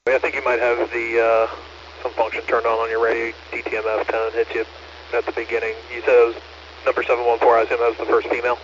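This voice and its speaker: A muffled, noisy two-way radio carries an American man acting as net control. His voice is mid-pitched and very even, matter-of-fact and courteous as he confirms details back. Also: fast. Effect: two-way amateur radio transmission. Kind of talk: procedural two-way radio traffic with read-backs.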